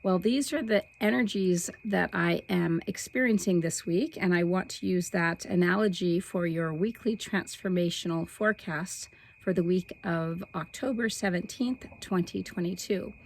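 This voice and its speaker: A middle-aged woman with a slightly hoarse voice, hosting a weekly spiritual forecast in a soft, meandering way that stretches key words. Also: on the low side, moderate pace. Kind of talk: casual spiritual talk to camera.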